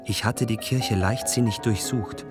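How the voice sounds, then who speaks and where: Man playing an obsessed young gentleman, lowish voice, earnest, brooding, searching, radio drama, music underneath. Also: steady tone, a little slow, lightly acted. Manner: earnest, brooding, literary inner monologue. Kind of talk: German radio play acting.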